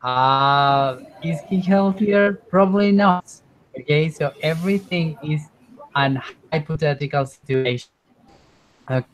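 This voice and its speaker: Slow, patient male English teacher. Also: a little high, low-quality recording. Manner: slow, patient, repeats himself. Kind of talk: online class teaching. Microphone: computer mic on a video call.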